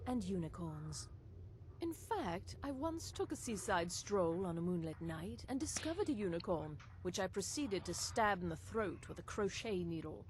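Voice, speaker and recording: Woman acting a refined, deadpan female assassin. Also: on the low side, normal speed, slight background noise, natural voice. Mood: coyly macabre. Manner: formal, deadpan. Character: refined female assassin, courteous, serenely macabre. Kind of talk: fantasy game voice acting.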